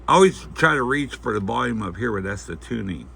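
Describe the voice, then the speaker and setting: A man giving a casual, unscripted product demonstration, talking in a halting, folksy way as he thinks aloud. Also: mid-range voice, expressive, gravelly. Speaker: host of a gear review channel. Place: indoors at home at night. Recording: faint background noise.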